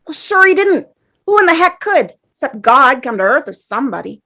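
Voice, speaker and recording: A woman's voice: high voice, big pitch swings, a little fast, bright, forceful tone, exaggerated voice. A woman playing a brash, impatient, sarcastic male juror in a scoffing, exclamatory way at a dramatic table read.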